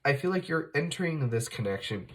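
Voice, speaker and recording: A man's voice: fairly high pitch, lively intonation, fairly quick. A man hosting a tarot reading, speaking casually while fighting off a cold, often correcting himself and using fillers. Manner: conversational, self-correcting, many fillers. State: fighting off a cold, occasional cough. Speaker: tarot reader, channel host.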